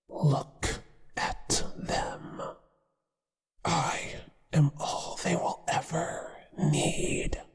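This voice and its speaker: A performer voicing a possessive, obsessive male spider monster, tender toward his mate and quietly menacing, in a breathy whisper for a horror ASMR roleplay. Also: medium pitch, heavily acted. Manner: slow, deliberate, menacingly affectionate. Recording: hushed whisper with long reverb.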